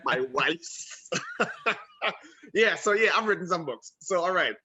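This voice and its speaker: A man's voice: high-pitched, animated, slow pace, sharp tone, slight background noise. Trinidadian man chatting on a video call. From Trinidad and Tobago. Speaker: interview guest. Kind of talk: casual video call interview.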